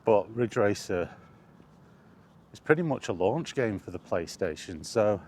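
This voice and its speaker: A man's voice: on the low side, expressive, unhurried, a little mellow. A middle-aged man giving a casual, rambling, reflective monologue as a gaming vlogger, often repeating phrases for emphasis. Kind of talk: casual rambling monologue about video games. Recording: clear background ambient noise.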